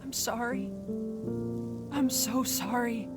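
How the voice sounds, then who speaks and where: A woman's voice: fairly high pitch, fairly even intonation, slow pace, loud music behind the voice. A woman playing a loving, regretful mother in a family audio drama, heartfelt and emotional. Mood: sorrowful.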